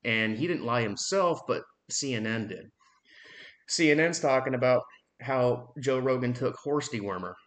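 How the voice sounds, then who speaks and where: A man in his thirties giving a blunt, frustrated opinion monologue on his own podcast, swearing freely and pausing often on "um.". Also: medium pitch, fairly bright tone. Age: 30s. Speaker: podcast host.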